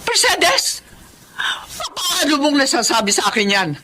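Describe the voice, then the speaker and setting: A woman's voice: high voice, sing-song, unhurried, sharp tone, a little hiss or hum, full character voice. A Filipino actress playing a hurt, indignant aging mother in a radio drama, with an emotional, protesting delivery. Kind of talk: Tagalog radio drama acting. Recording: studio drama with music underneath.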